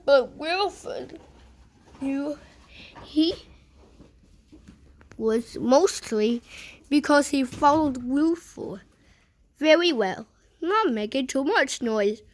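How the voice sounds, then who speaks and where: A woman reading a children's picture book aloud in a deliberate way, pausing between short phrases. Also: high voice, lively intonation. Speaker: storybook reader.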